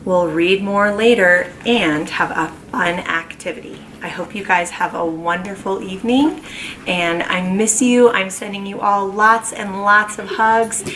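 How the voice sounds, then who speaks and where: A woman who teaches kindergarten, reading a children's book aloud at home in an animated, expressive way. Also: medium pitch, slight background noise, rough recording. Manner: animated, slow and expressive storytelling.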